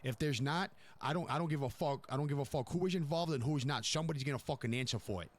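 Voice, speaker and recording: A man's voice: on the high side, fast, natural voice. Man acting a domineering, foul-mouthed mob boss. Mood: angry, demanding. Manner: browbeating, repetitive, profane. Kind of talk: improvised online crime game roleplay.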